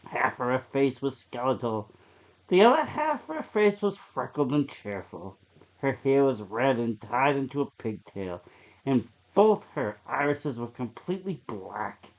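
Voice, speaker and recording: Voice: medium pitch, big pitch swings, unhurried. Horror story narrator, slightly dull lo-fi sound.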